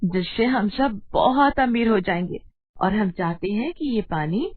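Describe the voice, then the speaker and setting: A woman voicing an excitable, sharp-tongued village wife in a dramatized story, exclaiming dramatically. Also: mid-range voice, measured pace, natural voice. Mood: amazed, delighted. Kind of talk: dramatized Hindi moral story acting. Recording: dull lo-fi audio with background noise.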